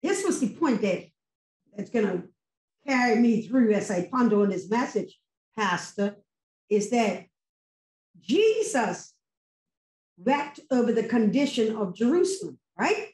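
A middle-aged woman speaks in a high voice, slowly and deliberately, with preacherly fervor. She presses her points with emphatic rhetorical questions. Her webcam audio on the video call has a roomy echo. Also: big pitch swings, a little mellow. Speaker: church member giving sermon response. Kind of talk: impassioned post-sermon testimony. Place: home room with virtual background. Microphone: laptop webcam on video call.

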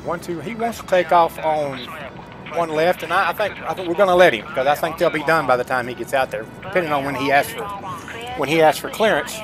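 A man's voice: fairly high pitch, a little fast, over music. A middle-aged man giving casual, folksy gameplay commentary, chatting informally to his viewers. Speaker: gaming streamer. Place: indoors at a computer desk, webcam.

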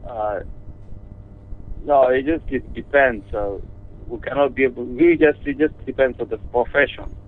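A man handling a business call over the telephone, polite but halting and often repeating himself. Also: medium pitch. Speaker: music lesson company owner. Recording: muffled, narrow telephone line.

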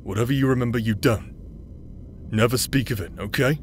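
A man's voice: mid-range voice, a little fast, slight background noise, natural voice. A man playing a cocky but caring rogue in an audio roleplay, talking in a casual, teasing, easygoing way. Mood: gently concerned. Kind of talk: scripted audio roleplay acting.

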